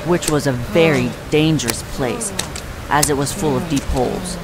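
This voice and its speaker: A man playing a mild songbird who narrates a storybook tale in a measured, gentle way. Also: fairly high pitch, a loud crowd, natural voice, low-quality recording. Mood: solemn storytelling.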